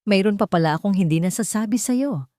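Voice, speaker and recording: Gentle, sincere young wife, voiced by a woman narrator, expressive. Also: mid-range voice, brisk, dry recording, studio quality, voice pushed up, lightly acted. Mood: nervous, happy.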